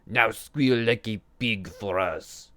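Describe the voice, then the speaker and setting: A man's voice: fairly low pitch, takes it slowly, a bit bright, no room sound, voice pushed up. A man voicing a group of cruel, mischievous imps who talk in a sneering, demanding way.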